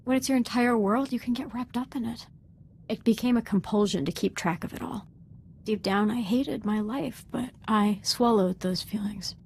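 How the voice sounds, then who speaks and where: A woman's voice: on the high side, normal speed, a little mellow, a little hiss or hum, natural voice. A young woman acting as a devoted, fervent Sith apprentice in a video game, speaking in an earnest, deferential and articulate way. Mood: bitter, intense.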